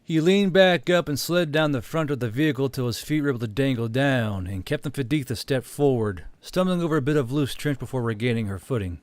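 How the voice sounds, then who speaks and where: A man's voice: medium pitch, animated, brisk, few pauses, no room sound. A man narrating a scripted fantasy story as an audiobook. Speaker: narrator and channel host.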